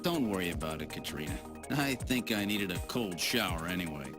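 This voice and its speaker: A wisecracking detective from a video game, with lively, swinging pitch. He is casual and flirtatious, wry even under pressure, with music playing behind him. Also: a little fast. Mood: reassuring. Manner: wry, casual, hesitating when flustered. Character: wisecracking, flirtatious male detective hunting killer androids.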